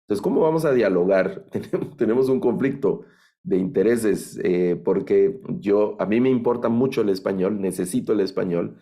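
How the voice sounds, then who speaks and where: Deep gravelly Guatemalan man lecturing. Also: warm tone. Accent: Guatemalan Spanish. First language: Spanish. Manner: thoughtful, halting, many fillers and restarts. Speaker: Bible language instructor. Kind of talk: online lecture.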